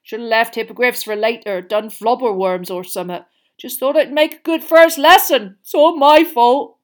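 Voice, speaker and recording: A woman voicing a big, gruff, drunken gamekeeper in an audiobook, miserable and hot-tempered, with thick, growling, rustic speech. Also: fairly high pitch, moderate pace, pitched above the performer's own voice, close to an everyday voice. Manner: rustic, colloquial, emphatic speech.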